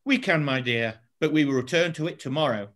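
A man's voice: a little high, brisk, a bit bright, natural voice. A man playing an imperious but fond father and emperor in an amateur pantomime read-through, declaiming in a stately way. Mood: fatherly. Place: indoors at home, on a video call.

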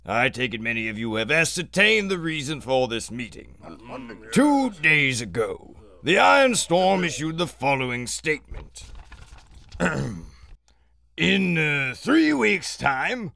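An actor playing a pompous, blustering aristocratic lord who makes halting speeches in a theatrical audio adventure serial. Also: male voice, on the high side, very animated, creaky, sharp tone, exaggerated voice. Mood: pompous, formal.

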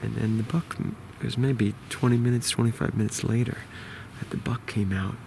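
A man in his forties with a New York accent, quietly explaining a hunt to a close-held camera outdoors in the woods. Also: fairly even intonation, normal speed, vocal fry, slight background noise. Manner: quiet, low-key explaining. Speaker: bowhunter. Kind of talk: hushed outdoor hunting vlog. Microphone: camera held close to face.

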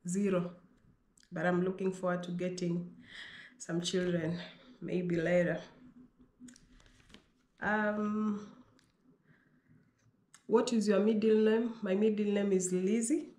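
A woman's voice: mid-range voice, little pitch movement, a little mellow. A Kenyan woman in her thirties, a native Pokomo speaker, answering viewer questions in a vlog, reading each question aloud before she answers it. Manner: reads questions aloud, then answers them herself in an unhurried way. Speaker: vlogger. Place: small bedroom indoors. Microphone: fixed camera at desk distance. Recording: echoey bedroom.

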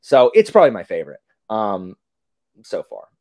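A man's voice: fairly low pitch, moderate pace, no echo, studio quality. A man in his forties chatting casually on a video call as a podcast guest, rambling and interrupting himself. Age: 40s. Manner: animated, rambling, self-interrupting.

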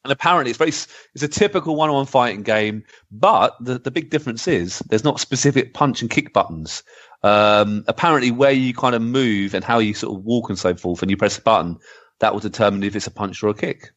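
A middle-aged man hosting a casual podcast, chatty and excitable. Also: mid-range voice, brisk. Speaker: podcast host. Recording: slightly dull, lo-fi audio.

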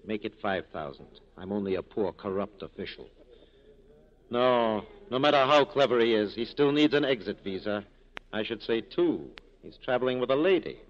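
An actor playing a wryly corrupt police captain with an urbane, teasing, courtly manner, in 1940s radio style. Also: male voice, lowish voice, expressive, normal speed, natural voice. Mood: wry, self-assured. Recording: muffled 1940s radio broadcast recording.

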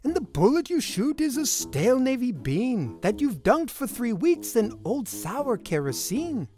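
A woman's voice: a little high, big pitch swings, measured pace, dark tone. A woman reading a rhyming children's picture book aloud in a playful, theatrical, sing-song way. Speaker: storybook reader. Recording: music behind the voice. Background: music.

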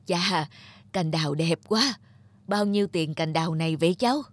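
A man playing a gentle, sad old grandfather in a cartoon, speaking mildly and hesitantly. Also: fairly high pitch, normal speed, no echo, close to an everyday voice. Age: older.

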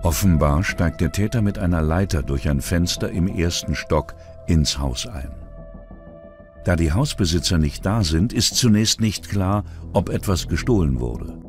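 A man's voice: low voice, lively intonation, a little slow, a little mellow, soft background music. A man narrating a scripted true-crime documentary.

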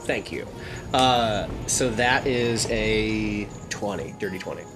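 A man's voice: lowish voice, slow. A man chatting casually as a player in a tabletop game, with music in the background.

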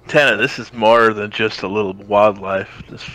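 A man's voice: lowish voice, steady tone, moderate pace, a bit bright, slight background noise, poor audio. A man chatting casually and roleplaying as a player in a tabletop game.

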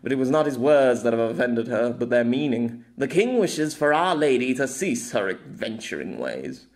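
A man's voice: mid-range voice, very animated, normal speed. A man voicing a sardonic, sneering bowman in a fantasy story. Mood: knowing. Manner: sneering, mocking.

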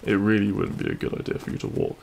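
A man playing a cheeky, kind-hearted bandit prince in an intimate audio roleplay, with a gravelly voice and a teasing, reassuring manner. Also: lowish voice, completely flat delivery, quick pace, a little mellow, a little hiss or hum. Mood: caring.

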